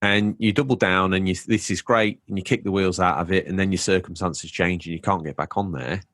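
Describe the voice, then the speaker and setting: A man's voice: sits low, fairly even intonation, fairly quick, a bit bright, no room sound, clean studio sound. A man co-hosting a casual podcast chat, wry and full of rhetorical questions. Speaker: podcast co-host.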